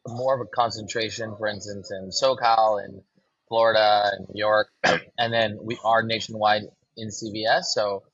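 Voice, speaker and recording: A man with a low, husky voice talks loosely about his business in a podcast interview, pausing on ums and restarting his sentences. The sound is muffled and dry. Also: no echo. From Los Angeles. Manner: relaxed, fills with ums and restarts. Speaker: brand co-founder.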